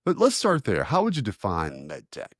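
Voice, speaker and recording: A man's voice: on the low side, huge pitch swings, normal speed, no room sound, studio quality. A man hosting a podcast, introducing his guest in a friendly, rambling way with repeated words. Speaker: podcast co-host. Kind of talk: podcast interview.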